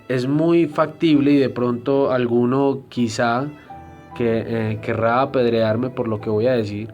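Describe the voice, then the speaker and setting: Man teaching, slow and hesitant. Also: mid-range voice, darkish tone, highs cut off. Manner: deliberate, explanatory, frequent hesitation fillers. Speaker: Bible teacher. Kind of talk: church history lecture.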